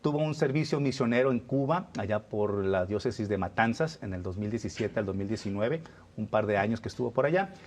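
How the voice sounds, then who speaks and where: A man's voice: lowish voice, lively intonation, fairly quick, a little hiss or hum. Man hosting a religious talk show. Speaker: host of a religious TV program. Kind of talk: conversational presenting and interviewing.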